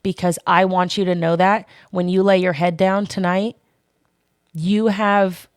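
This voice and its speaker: Loud swearing woman hosting a live panel. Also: fairly low pitch, level tone, unhurried, clear, resonant tone, dry recording, clean studio sound. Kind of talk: heated live-stream talk.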